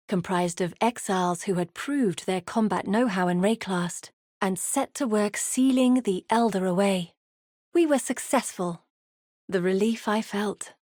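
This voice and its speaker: An actress voicing an earnest, guilt-haunted woman explorer and guide in a fantasy video game, speaking in a grave, measured, confiding way. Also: mid-range voice, a little mellow, no room sound, studio quality, close to an everyday voice. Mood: reflective. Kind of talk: scripted dramatic game dialogue.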